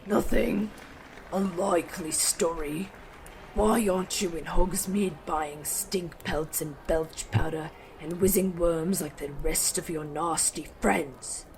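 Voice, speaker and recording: A man playing a nasty, suspicious old school caretaker with a gravelly voice and a sneering, accusing manner. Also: fairly high pitch, fairly even intonation, takes it slowly. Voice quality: gravelly, creaky. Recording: slightly noisy home mic.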